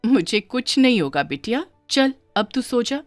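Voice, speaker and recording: A woman's voice: on the high side, very animated, a little slow, soft background music. A woman voicing a poor, hardworking village mother, weary but patient, in a cartoon moral story. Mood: weary, gentle.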